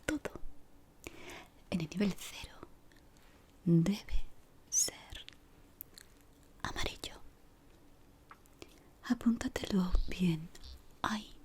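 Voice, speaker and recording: A woman doing an ASMR roleplay in a breathy whisper, playing a polite but exacting decorator of an eerie office maze. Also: fairly low pitch. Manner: slow, deliberate, pausing, softly spoken.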